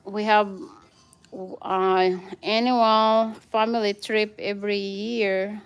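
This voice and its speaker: A woman's voice: mid-range voice, level tone, slow, smooth. A woman from the Philippines with a Filipino accent, chatting casually as she gives viewers a tour of her house and greets them by name. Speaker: vlogger, mother.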